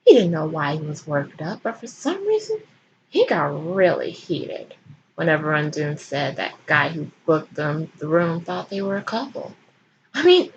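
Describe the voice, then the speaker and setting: A woman's voice: fairly low pitch, lo-fi sound, slight background noise. A woman giving a comic dramatic reading of fan fiction as host, in a theatrical, irreverent manner.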